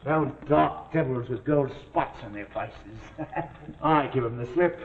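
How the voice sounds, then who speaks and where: An actor playing a boastful, rough male crook with working-class dialect, in 1940s television suspense drama style. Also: medium pitch, slow pace. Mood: gleeful boasting. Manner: rustic working-class dialect, swaggering storyteller. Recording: muffled, noisy old 1949 TV soundtrack.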